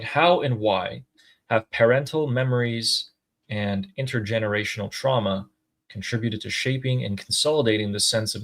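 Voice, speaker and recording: Low-voiced chatty male stream host. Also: fairly bright tone. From United States. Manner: chatty, thinks aloud with fillers and throat clearing. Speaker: co-host reading viewer super chats. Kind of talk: casual live-stream chat.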